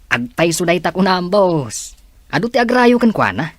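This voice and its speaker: A man's voice: sits high, big pitch swings, moderate pace, no room sound. A man playing a deferential, chatty underling reporting to his boss in an audio drama. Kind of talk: Ilocano audio drama acting.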